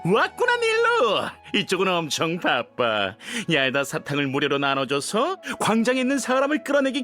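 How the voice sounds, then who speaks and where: An actor playing an eager, bubbly young helper in a video game, friendly and excitable in manner. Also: male voice, extremely high pitch, full character voice. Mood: excited. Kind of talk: video game dialogue acting.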